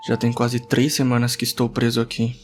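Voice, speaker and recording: A man's voice: mid-range voice, monotone, fairly quick, natural voice. A frustrated young gamer boy, acted by a man, hero of a fantasy audio novel. He mutters and grumbles his lines to himself while music plays behind him. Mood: grumbling. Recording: background music under the voice.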